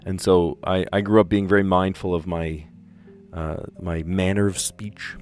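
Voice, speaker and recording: A man's voice: deep voice, little pitch movement, a little slow, darkish tone, faint music behind. A man from the US East Coast with a general American accent, hosting a puzzle livestream and chatting casually as he thinks aloud, with careful diction.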